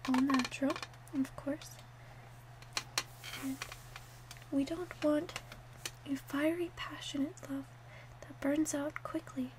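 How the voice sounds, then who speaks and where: Female ASMR roleplayer as a gentle witch shopkeeper: high, even, slow, hushed and partly whispered. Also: background noise. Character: calm, helpful female witch selling love potions.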